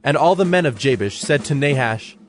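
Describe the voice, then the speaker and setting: A man narrating scripture from a script as a solemn, measured storyteller. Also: medium pitch, animated, faint music behind.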